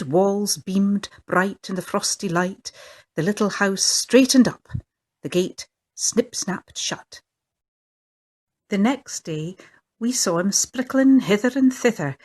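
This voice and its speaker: Slow woman reading a storybook. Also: medium pitch. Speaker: author reading her own picture book. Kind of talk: storybook read-aloud. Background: faint fiddle music.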